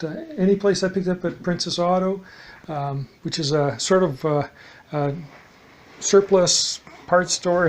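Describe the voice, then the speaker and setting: A man with a fairly high-pitched voice talks through a homemade project. His delivery is unscripted and halting, with a matter-of-fact manner and phrases that tend to trail off. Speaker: DIY hobbyist presenter. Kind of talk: casual unscripted project demonstration.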